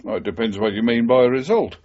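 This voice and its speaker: Ex-policeman, male actor: cynical and wary, breathy husky tone, wry radio-drama delivery, slightly muffled broadcast. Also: mid-range voice, quick pace, close to an everyday voice. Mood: guarded. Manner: guarded, wry. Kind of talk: 1980s radio drama acting.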